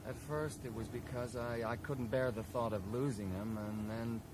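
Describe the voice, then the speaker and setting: An actor playing a grieving, loyal brother who speaks candidly and hesitantly in a radio drama. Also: male voice, medium pitch, fairly even intonation, moderate pace, close to an everyday voice. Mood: pained, candid. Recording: noisy 1980s radio drama.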